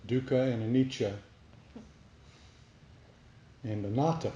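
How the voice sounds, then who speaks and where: A man giving a reflective spiritual talk to a group as a meditation teacher, speaking deliberately with pauses between short phrases. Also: medium pitch, steady tone, warm tone, dull audio. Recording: roomy echo, faint background noise.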